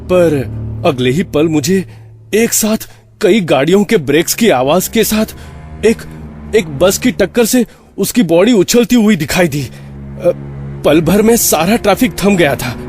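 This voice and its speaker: An actor playing an anxious, exasperated man who tells his eerie story in a horror audio drama, halting and repeating words for suspense. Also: high voice, animated, darkish tone, soft background music. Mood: shaken, suspenseful. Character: anxious, exasperated male flat owner recounting eerie events. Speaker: narrator and lead of a horror story.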